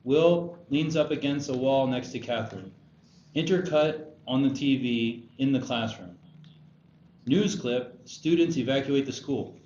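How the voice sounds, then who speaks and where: A man's voice: medium pitch, a little slow, darkish tone. A man reading scripted stage directions as narrator at a screenplay table read. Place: roomy indoor table read. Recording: echoey room, dull sound, faint noise.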